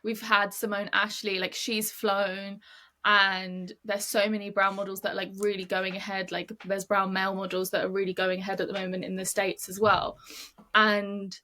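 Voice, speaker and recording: A woman's voice: mid-range voice, little pitch movement, moderate pace, sharp tone. A young British woman chatting as a podcast guest, filling her talk with "like" a lot. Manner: chatty, fills with "like" often. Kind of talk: casual interview chat.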